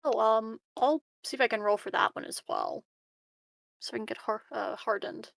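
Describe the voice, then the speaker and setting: Higher-pitched woman with gravelly creak. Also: moderate pace, fairly bright tone, no echo. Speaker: player in a tabletop roleplaying game. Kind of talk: casual chat.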